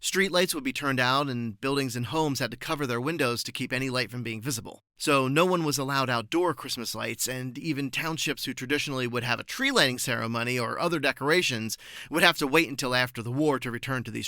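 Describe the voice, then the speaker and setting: Man, mid-pitched bright voice, articulate, informative interview guest, measured phrasing, close dry sound. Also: dry recording. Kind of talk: prepared explanatory interview answers.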